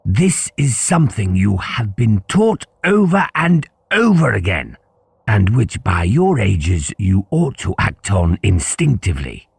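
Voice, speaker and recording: A man voicing a stern older school principal in a formal, pompous, deliberate lecturing manner for an audiobook. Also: on the low side, very animated, vocal fry, a little mellow, slightly rough, dry recording, heavily acted. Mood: stern, reproving.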